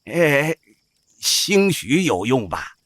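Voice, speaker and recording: An actor playing an old village opera-troupe boss, folksy, worldly and cautious, with a raspy, sighing, drawling delivery in an audio drama. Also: male voice, medium pitch, big pitch swings, drawn-out words, a bit bright, no echo, studio quality, full character voice. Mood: weary, sighing. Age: older. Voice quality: raspy, creaky.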